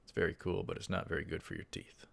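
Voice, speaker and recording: A man's voice: deep voice, little pitch movement, quick pace, gravelly. A man giving casual let's play commentary and reading aloud, rambling a bit and correcting himself in asides. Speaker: let's play host reading a visual novel.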